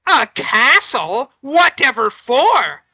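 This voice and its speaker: A woman voicing a grumpy old male magic fish who speaks in slow, loud, weary commands. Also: high voice, sing-song, husky, no room sound, heavily acted.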